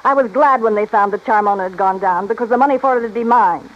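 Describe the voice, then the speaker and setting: An actress playing a cold, bitter femme fatale in a 1950s radio drama, dramatic and taunting. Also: female voice, mid-range voice, quick pace, natural voice. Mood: bitter, wistful. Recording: muffled old radio recording, faint noise.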